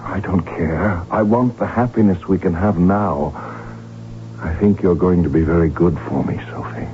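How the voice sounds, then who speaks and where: Casting: man to play desperate, proud imperial heir, very deep, formal and intense, vintage radio drama sound. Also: normal speed, very noisy, close to an everyday voice. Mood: tender, urgent. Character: proud, desperate, defiant middle-aged male Habsburg heir. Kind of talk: 1970s radio drama acting. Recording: tinny, humming old radio recording.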